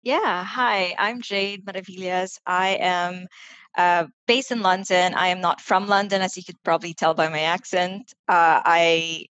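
A woman's voice: fairly low pitch, steady tone, unhurried, fairly bright tone, no echo, dull audio. A woman in her thirties from the Philippines, with an international school accent, chatting as a guest on a video call over a headset. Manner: chatty, laughs often, restarts words. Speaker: guest, head of marketing enablement. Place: home room.

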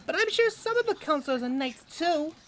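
A woman's voice: high voice, animated, moderate pace, a little hiss or hum. A woman voicing an eager, imaginative young child in a fantasy audio drama. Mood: excited.

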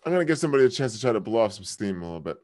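A man hosting a live stream, heckling strangers in random video chats with loud, crude, swearing banter. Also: on the low side, big pitch swings, racing. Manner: loud, taunting, swearing often. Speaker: streamer host.

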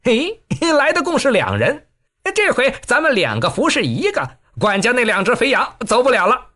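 A man voicing a rough, boastful bandit with an excitable manner in a storytelling reading. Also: extremely high pitch, normal speed, pitched above the performer's own voice, full character voice. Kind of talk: storytelling audiobook.

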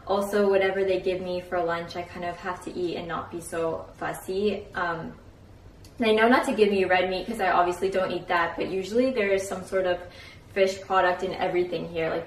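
A woman's voice: lowish voice, a little hiss or hum, poor audio. A young woman talking to a handheld camera in a casual vlog, chatty and enthusiastic with frequent fillers. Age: young adult. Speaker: vlogger. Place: small home kitchen, indoors. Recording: music bed underneath. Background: background music.

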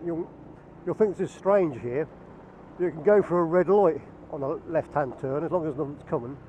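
A man keeps up a dry, chatty commentary over a helmet-cam mic as he rides. His voice is mellow and a little high, and the audio is muffled. A faint rumble of traffic sits behind him. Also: normal speed. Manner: chatty, dry, self-mocking asides. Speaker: motovlogger. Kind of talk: casual running commentary while riding. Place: on a motorbike on open roads. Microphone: helmet camera mic.

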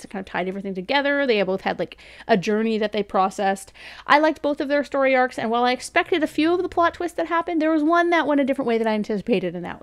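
30s woman chatting a book review at home, bit high voice, laughs a lot. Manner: chatty, laughs often, restarts and fillers. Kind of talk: casual spoken review. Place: home room with bookshelves, indoors. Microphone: studio mic beside her on desk.

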